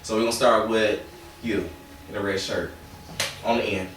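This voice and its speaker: A man's voice: lowish voice, fairly even intonation, normal speed, a bit bright, slight background noise. A man in his forties casually hosting a group discussion, picked up by a distant camera microphone. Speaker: show host. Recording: very echoey room, distant mic, faint music.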